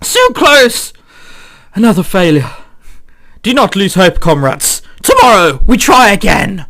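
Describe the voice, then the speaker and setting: A man voicing an overdramatic schoolboy rallying his friends like soldiers, loud and urgent, in a visual novel. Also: high-pitched, huge pitch swings, unhurried, full character voice. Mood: defiant, dramatic.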